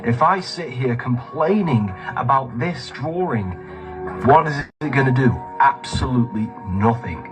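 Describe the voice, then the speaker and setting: Motivational guy, mid-pitched voice, emphatic teacher type asking rhetorical questions, music loud behind him. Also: animated, a little slow. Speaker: motivational speaker.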